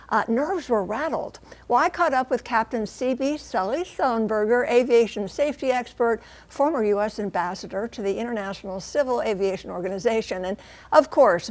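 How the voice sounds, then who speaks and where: Higher-pitched American newswoman, emphatic. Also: a little mellow. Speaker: TV news reporter. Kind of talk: broadcast news report and studio explainer.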